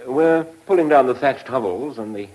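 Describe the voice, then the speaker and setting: A man's voice: mid-range voice, animated, moderate pace, darkish tone, lightly acted, poor audio. An actor in a British radio drama playing a pompous, scheming official who savours his words with hesitations. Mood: pompous relish.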